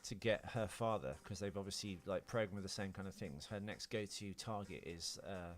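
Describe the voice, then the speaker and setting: A man's voice: low-pitched, moderate pace, soft background music. A man co-hosting a podcast, talking casually and off the cuff in rambling explanations. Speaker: podcast co-host. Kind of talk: casual unscripted film podcast chat.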